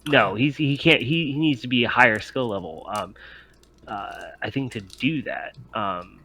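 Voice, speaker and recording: A man's voice: mid-range voice, moderate pace, sharp tone. A man running a tabletop game as game master, casually explaining rules with a hesitant, stammering delivery full of restarts.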